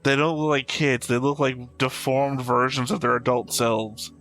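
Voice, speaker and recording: Bright-voiced man giving blunt reaction commentary. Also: medium pitch, fairly even intonation, moderate pace, faint music behind. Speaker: reaction show host.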